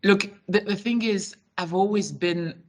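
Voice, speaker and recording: A woman's voice: medium pitch, unhurried. A woman who grew up in Switzerland and Senegal, answering interview questions as a company chief executive in a frank, reflective, loosely phrased way.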